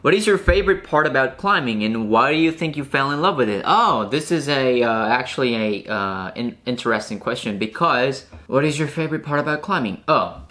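A young Korean man with a Korean accent, answering viewer questions casually to camera in a small room, pausing to think with fillers. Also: medium pitch. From South Korea. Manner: relaxed, thinking aloud with fillers. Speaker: climbing vlogger.

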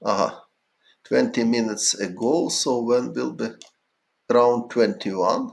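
A middle-aged man from Ukraine with a Ukrainian accent, chatting casually on a chess stream in halting English with many fillers. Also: mid-range voice, steady tone, creaky, a little mellow. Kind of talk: casual livestream chat answering viewers.

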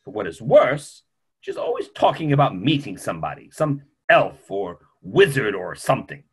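A man's voice: medium pitch, huge pitch swings, normal speed, husky, exaggerated voice. A man in his fifties playing a grumpy, opinionated older family doctor in a theatrical play reading, with a dry, emphatic manner. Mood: dry disapproval. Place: small home room on a video call.

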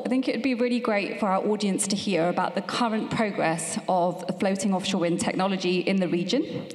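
A woman's voice: mid-range voice, a little mellow. Woman moderating a panel, echoey hall. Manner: polite, measured. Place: large conference hall stage. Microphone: handheld mic.

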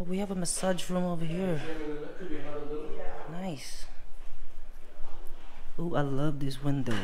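A man giving a casual, chatty walkthrough of a large house, filming on a handheld phone and admiring the rooms as he goes. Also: fairly high pitch. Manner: slow, chatty, admiring remarks. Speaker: real estate agent touring a home. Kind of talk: casual home tour walkthrough. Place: large furnished house, indoors. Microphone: handheld phone camera. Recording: other visitors chatting behind.

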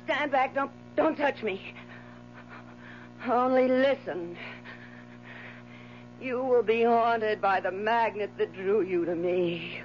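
Venomous dying wife, slow haunting taunts, radio play, muffled tape with hum. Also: female voice, on the high side. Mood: menacing, dying. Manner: theatrical, taunting, drawn-out delivery. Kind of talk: 1970s radio drama acting. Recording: dull 1970s radio recording with hum.

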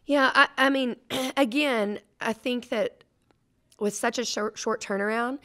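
A middle-aged woman coach answering press questions at a table mic, measured and full of ums and restarts. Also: a little high, sharp tone. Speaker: head basketball coach. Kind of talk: post-game press conference answers. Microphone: desk mic on a stand.

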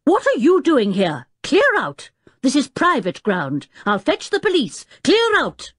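A woman voicing an absent-minded, irascible middle-aged male professor in a storybook, with a gruff, blustering manner. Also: medium pitch, very animated, normal speed, dry recording, clean studio sound, voice pushed up. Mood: furious roaring.

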